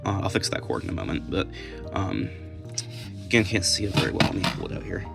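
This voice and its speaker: An American man giving a casual, unscripted show-and-tell, halting and restarting as he goes. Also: low voice, big pitch swings, vocal fry, loud music behind the voice. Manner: halting, unscripted, trailing off mid-thought. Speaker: video creator showing a collection.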